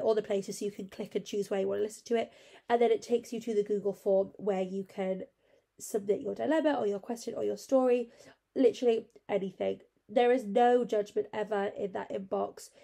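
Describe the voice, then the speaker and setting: A young woman talks from her bed, her nose badly blocked by a cold. Her voice is gravelly with a dark, mellow tone and a slightly higher pitch. She rambles in a chatty, easygoing way, full of fillers and asides. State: congested with a cold. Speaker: podcast host. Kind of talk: casual solo podcast chat. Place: at home, in bed.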